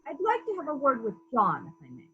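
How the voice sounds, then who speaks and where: A woman playing a calm, persistent police investigator in a murder mystery reading, formal, measured and politely probing. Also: high-pitched, slightly rough, phone-quality sound, heavily acted. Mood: polite, firm.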